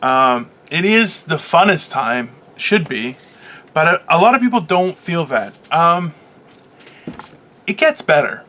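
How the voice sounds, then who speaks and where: A man in his late thirties co-hosting a podcast, chatting casually in a loud, animated and teasing way. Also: a little high, takes it slowly. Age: 30s. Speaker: podcast co-host. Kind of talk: casual chat.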